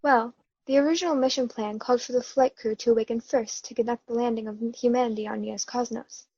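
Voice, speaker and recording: A dull, close and dry recording of a woman playing an earnest sci-fi mission explainer. The voice is fairly high, reading audio-drama exposition in careful, scripted sentences. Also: steady tone, fast talker, warm tone, husky, no echo. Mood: earnest, explanatory. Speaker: student actor.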